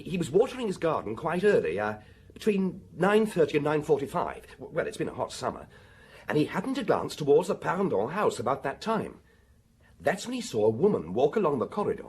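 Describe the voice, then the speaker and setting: A man playing an eager young police inspector in a radio drama, raspy-voiced, keen and a little hesitant as he reports. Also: fairly high pitch, wildly swooping pitch, brisk, darkish tone, exaggerated voice. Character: eager, chirpy, health-conscious junior male police inspector.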